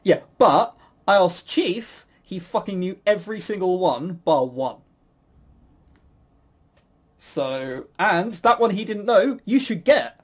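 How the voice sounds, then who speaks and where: Higher-voiced man in rambling, jokey banter. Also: lively intonation, slow, long pauses. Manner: rambling, jokey, self-mocking, swears casually. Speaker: podcast co-host and quizmaster. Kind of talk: casual banter.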